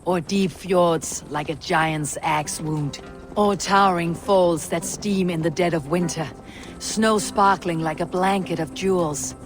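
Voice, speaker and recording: A woman's voice: on the low side, fairly even intonation, measured pace, close to an everyday voice. An actress playing a female Viking warrior with a dry, teasing manner in a game cutscene. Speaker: game character. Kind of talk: video game cutscene acting. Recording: game audio with clear music.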